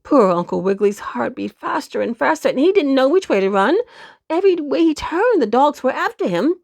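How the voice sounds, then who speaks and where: A woman's voice: high voice, gravelly, no echo, clean studio sound. A woman narrating a children's storybook expressively, speaking directly to young listeners with dramatic emphasis.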